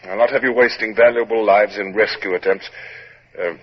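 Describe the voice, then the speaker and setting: An actor playing a resolute British naval captain in a 1950s radio drama, crisp and authoritative as he narrates and gives orders. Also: on the low side, fairly even intonation, brisk. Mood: stern. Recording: noisy muffled old radio recording.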